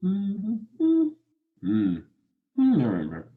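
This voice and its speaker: A man acting a formal, ponderous fish judge who deliberates in a student radio play. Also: high-pitched, wildly swooping pitch, narrow, tinny audio, exaggerated voice. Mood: pondering, solemn. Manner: formal, ponderous, hesitant hums.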